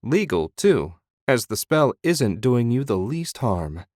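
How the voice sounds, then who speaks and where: A man's voice: mid-range voice, sing-song, slow, a little mellow, no echo, studio quality, voice pushed up. A man voicing a sardonic, smug young nobleman who is a wizard in an audiobook.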